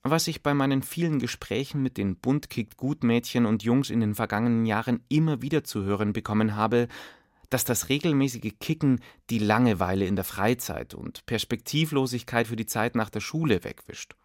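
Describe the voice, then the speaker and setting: A male narrator reads a documentary script in a measured, clear way. The sound is dry and close, with no room around the voice. His pitch sits in the middle range for a man. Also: no room sound. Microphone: close studio mic.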